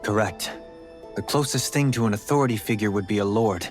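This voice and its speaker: This is a calm, formal lord in a video game, voiced by a man with a low, even delivery. He chooses his words carefully and speaks with quiet authority. A strong musical score plays beneath him. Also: natural voice. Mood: thoughtful. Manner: measured, formal and composed. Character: calm, thoughtful noble lord, adult man. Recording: game audio over music.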